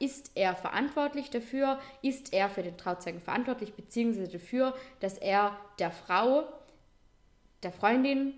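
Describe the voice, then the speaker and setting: Austrian woman co-hosting a podcast, in her twenties or thirties, chatty and loose, full of little fillers and restarts, at an ordinary middle pitch. Conversational and relaxed in delivery. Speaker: podcast co-host. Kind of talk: casual chat.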